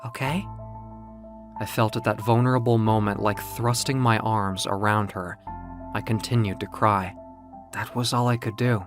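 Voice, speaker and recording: A man's voice: fairly low pitch, fairly even intonation, moderate pace, over music. A man narrating a scripted first-person story in a dramatic, emotive way.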